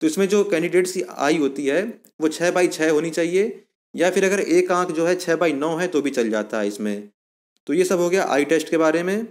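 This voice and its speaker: A man's voice: a little high, normal speed. A man giving an informal explanatory lesson as an exam coaching presenter, going step by step and repeating himself to recap.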